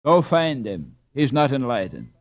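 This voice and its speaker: Gruff storybook landlord, performed by a man, resentful and sharp, widely swinging pitch, slightly dull sound. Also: sing-song, a little slow, dry recording. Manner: scornful, injured, sharp.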